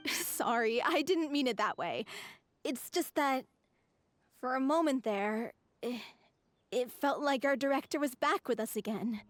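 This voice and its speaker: Gentle high-voiced young woman. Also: expressive, a little slow, long pauses, no room sound. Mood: apologetic. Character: gentle, apologetic young woman of a theatre troupe. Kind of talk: video game voice acting. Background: game music.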